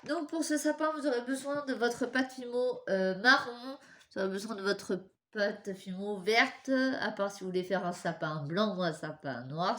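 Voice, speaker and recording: Young woman with a head cold, congested nose, talking viewers through a craft tutorial in a chatty, apologetic way. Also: medium pitch. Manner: chatty, apologetic, talks while working with her hands. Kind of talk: casual step-by-step craft tutorial.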